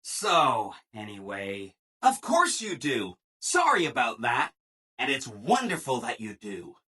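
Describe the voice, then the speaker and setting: An actor playing a relentlessly cheerful, eager-to-please robot assistant in a video game, chirpy and over-eager, with exaggerated emphasis. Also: male voice, medium pitch, wildly swooping pitch, slow, pushed and bright, breathy edge, heavily acted. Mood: awkwardly upbeat. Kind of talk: animated video game character dialogue.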